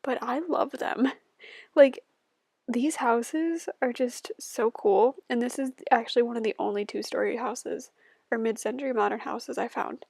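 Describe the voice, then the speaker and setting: Giggly young woman with a gravelly edge. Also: on the high side, unhurried, a little mellow, no echo, studio quality. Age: 20s. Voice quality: stuffy-nosed. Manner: rambling, giggly, chatty. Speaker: YouTuber. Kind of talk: casual voice-over chat over a game build. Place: at home.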